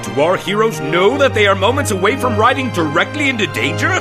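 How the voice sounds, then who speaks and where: Serial announcer: melodramatic American narrator, high, swooping delivery, music underneath. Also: male voice, big pitch swings, fairly quick. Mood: dramatic, excited. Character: excited old-time Western serial narrator.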